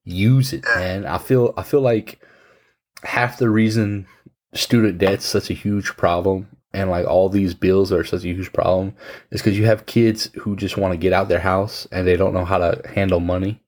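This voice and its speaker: Man, 30s, very low voice, frank, casual, blunt advice. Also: fairly even intonation, normal speed, darkish tone. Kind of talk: casual chat.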